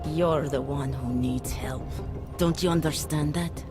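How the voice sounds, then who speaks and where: A woman's voice: low voice, fairly even intonation, a little slow. A woman playing a menacing, zealous killer in a video game who sees herself as a saviour, with intense, preaching delivery. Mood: fervent. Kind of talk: video game cutscene acting. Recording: loud music underneath. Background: loud music.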